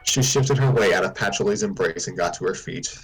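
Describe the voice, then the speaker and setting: A man narrates fan fiction in an informal read-aloud style. His voice is husky and fairly low, and he occasionally repeats a word as he reads. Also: fairly quick, fairly bright tone, rough recording. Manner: stumbles and repeats words while reading. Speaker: story reader on a stream.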